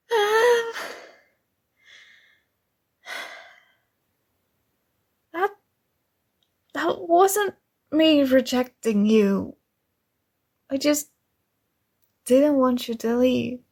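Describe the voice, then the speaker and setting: A woman's voice: high voice, extremely slow, long pauses, exaggerated voice. A woman playing a kind, earnest and oblivious female friend in an intimate ASMR roleplay, speaking casually and a little hesitantly. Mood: shy, tender. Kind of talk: ASMR roleplay, intimate one-sided dialogue.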